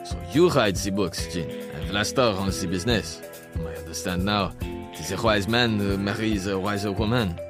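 Shrewd, measured man for spy drama played by a male actor, low voice over score. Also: music in the background, close to an everyday voice. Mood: knowing. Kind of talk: dramatised podcast trailer.

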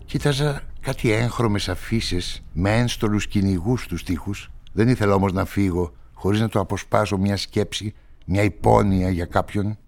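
A man acting as an inquisitive journalist who narrates a radio drama in a measured, probing manner. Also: lowish voice, darkish tone, natural voice. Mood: measured, reflective. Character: inquisitive male journalist investigating a murder, narrating his story. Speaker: narrator and lead actor.

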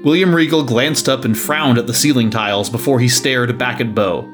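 A man's voice: mid-range voice, moderate pace, music in the background. A man narrating a scripted story.

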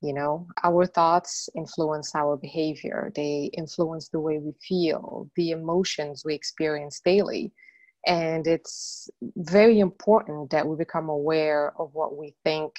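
A woman's voice: low voice, steady tone, unhurried, a little mellow, no room sound. A middle-aged woman from Morocco, an interview guest telling her story earnestly, with many "you know" fillers.

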